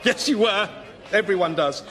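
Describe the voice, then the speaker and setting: A defensive, sarcastic British man in a radio sketch. His voice is high and touchy, ringing in a hall with an audible crowd. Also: expressive, normal speed. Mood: exasperated, sarcastic. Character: embarrassed, defensive man weary of name jokes. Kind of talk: radio sketch comedy acting.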